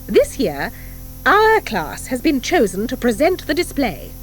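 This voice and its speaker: A formidable witch schoolmistress, acted by a woman narrator, high and sweeping in pitch. She is crisp, precise and brisk, giving orders with piercing authority in a storybook style. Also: huge pitch swings, slight background noise, exaggerated voice. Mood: formal, measured. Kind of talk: audiobook character acting. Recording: 1984 audiobook from cassette.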